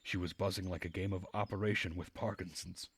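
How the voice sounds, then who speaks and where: A man with a husky voice reading a visual novel aloud as narration while streaming the game. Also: low voice, steady tone, brisk.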